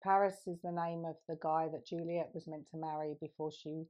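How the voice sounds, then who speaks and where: A woman's voice: low voice, fairly even intonation, a little fast, mellow, dull audio. A woman in her thirties co-hosting a podcast, telling a rambling story in casual chat and laughing often. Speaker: podcast co-host.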